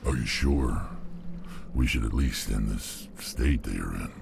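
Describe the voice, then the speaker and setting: An actor playing a huge, gruff, blunt alien mercenary warrior in a video game, with a gravelly voice. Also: male voice, animated, unhurried, background noise, heavily acted. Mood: grim, disapproving. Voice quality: very deep, gravelly. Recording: very loud music over the voice.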